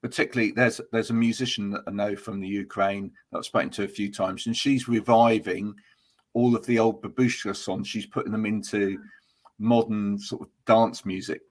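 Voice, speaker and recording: A man's voice: lowish voice, fairly even intonation, normal speed. Chatty man hosting an interview. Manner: chatty, friendly, rambling restarts. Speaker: host. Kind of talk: casual interview chat.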